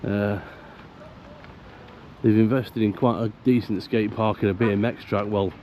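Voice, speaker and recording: A croaky, low-voiced man gives a chatty walk-round of a fishing lake outdoors. His tone is mellow and relaxed, and the outdoor camera audio carries a faint hiss of background noise. He recommends the spots and grumbles about the council. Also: measured pace. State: croaky from illness. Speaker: angler vlogger. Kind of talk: casual walk-round narration.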